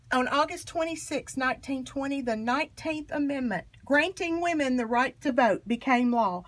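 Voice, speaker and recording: A woman reading a children's history book aloud in an expressive, emphatic storytelling manner. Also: on the high side, rough recording. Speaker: storybook reader.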